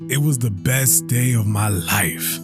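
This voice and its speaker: A man playing an affectionate, teasing husband and father with a husky voice in an audio drama. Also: medium pitch, a little slow, loud music behind the voice, close to an everyday voice.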